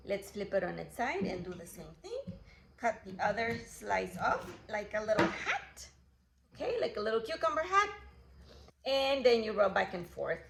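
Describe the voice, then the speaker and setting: A woman's voice: mid-range voice, slight background noise. A young woman from Guatemala hosting a kid-friendly cooking class, cheerful and upbeat, talking straight to the viewers. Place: kitchen. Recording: roomy kitchen, faint ambience.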